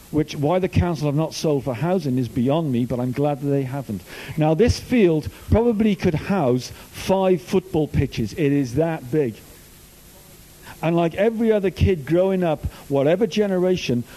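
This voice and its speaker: Chatty youth minister preaching. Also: male voice, on the high side, mellow, rough recording. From Wales. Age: older. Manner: chatty, jokey storytelling. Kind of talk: informal sermon.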